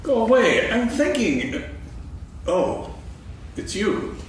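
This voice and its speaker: Very echoey hall, man as ponderous storybook owl, pompous, absentminded, hugely expressive pitch swings. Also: slow, heavily acted. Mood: grumpy. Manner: pompous, ponderous, hesitant bluffing. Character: pompous, absentminded old owl pretending to be wise. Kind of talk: theatrical staged reading of a children's story. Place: on stage in a hall, recorded from afar. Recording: echoey hall, distant, faint noise.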